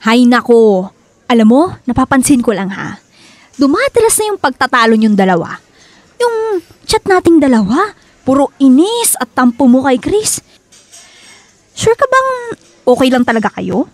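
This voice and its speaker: A woman acting a teasing, frank young best friend in a radio drama, playful and expressive, with a Filipino accent. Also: high voice, moderate pace, long pauses, no echo. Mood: concerned, prodding.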